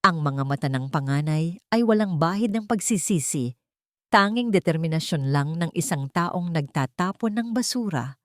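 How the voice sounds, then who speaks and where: A woman narrating a dramatic story with steady scripted delivery. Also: low-pitched, normal speed, no echo, clean studio sound.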